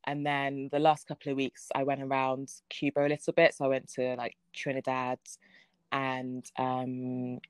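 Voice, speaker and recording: Low-voiced young woman, chatty and laughing. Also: completely flat delivery, moderate pace, smooth, no echo. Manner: laughs often, chatty storytelling. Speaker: guest. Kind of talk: casual chat.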